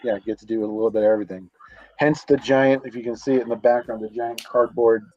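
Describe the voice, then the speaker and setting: Mellow-voiced man on a dull call line. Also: mid-range voice, steady tone, quick pace. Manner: rambling, many restarts and fillers. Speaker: guest, comics creator. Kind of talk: casual chat. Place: office on a college campus.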